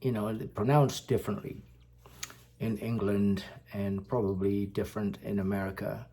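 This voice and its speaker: A man from Indonesia, a native Indonesian speaker, talking slowly to the camera in a casual vlog, pausing often with fillers. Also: lowish voice, level tone, warm tone, a little hiss or hum, poor audio. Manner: slow, halting, many fillers. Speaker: vlogger.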